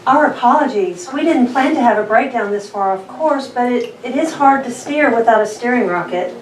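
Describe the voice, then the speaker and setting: Woman as female starship crew officer, dutiful, higher-pitched, echoey room. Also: lively intonation, moderate pace, lightly acted. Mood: formal, apologetic. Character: dutiful female spaceship navigator and translator. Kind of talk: table read of a sci-fi drama. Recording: echoey room, distant mic, faint noise.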